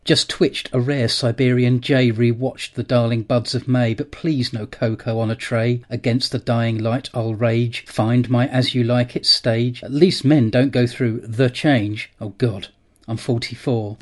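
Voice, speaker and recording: A man's voice: mid-range voice, steady tone, unhurried, a little mellow. Dry man in his forties. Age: 40s. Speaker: guest poet. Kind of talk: reading comic rhyming poems.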